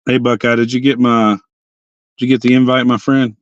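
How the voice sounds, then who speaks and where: A hoarse young man hosting a casual livestream chat from his parked car, rambling and often restarting his sentences. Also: mid-range voice, level tone, a little fast, no room sound, highs cut off. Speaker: livestream host.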